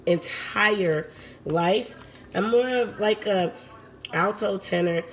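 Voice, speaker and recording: A woman with a raspy voice, hosting a casual live cooking demo from her home kitchen and chatting with her viewers as she works. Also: medium pitch, takes it slowly. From Puerto Rico.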